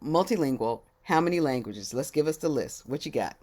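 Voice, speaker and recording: A woman's voice: sits low, animated, brisk, darkish tone. A woman hosting a casual interview, playful and teasing, laughing often. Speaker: host. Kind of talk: casual video chat interview.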